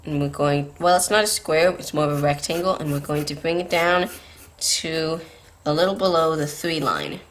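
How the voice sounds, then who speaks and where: A woman's voice: low voice, moderate pace. A woman hosting a drawing tutorial, explaining patiently step by step, her voice tired and scratchy from a late night. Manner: patient, beginner-friendly explaining.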